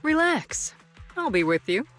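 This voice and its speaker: A woman voicing a calm, authoritative female colonel in a video game, speaking in a measured, formal way. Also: sits high, faint music behind, heavily acted. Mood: calm, reassuring. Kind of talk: scripted video game voice acting.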